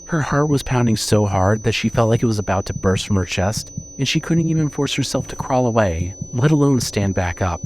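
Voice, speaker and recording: A man narrating scripted horror fiction in a measured, dramatic storytelling manner. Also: fairly low pitch, expressive, a little mellow, a little hiss or hum, rough recording.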